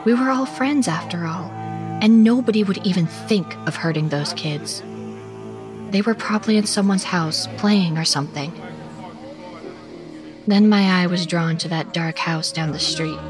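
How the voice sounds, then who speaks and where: Woman acting as a worried suburban narrator, lowish voice, measured dramatic horror storytelling w music behind. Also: lightly acted. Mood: tense, reflective. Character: anxious suburban woman recounting a frightening Christmas night. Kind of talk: scripted first-person horror narration. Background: background music.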